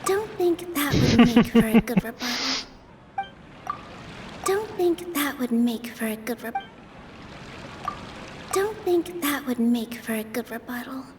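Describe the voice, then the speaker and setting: A woman's voice: a little high, expressive, unhurried, long reverb tail, crowd noise. A woman streamer reading game dialogue aloud while playing, repeating lines. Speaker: gameplay streamer.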